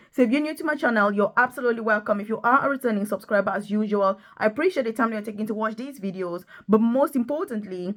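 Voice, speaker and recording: A woman's voice: on the high side, a bit bright. A woman hosting an enthusiastic promotional vlog, talking straight to viewers in an emphatic, urging manner and repeating points for stress. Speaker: video host, nurse and career coach.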